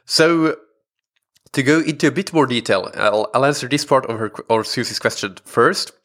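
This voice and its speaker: A man's voice: medium pitch, moderate pace, fairly bright tone. A young man hosting a podcast, congested from a recent illness, chatting casually with many fillers and restarts. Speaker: podcast host. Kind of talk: casual solo podcast talk.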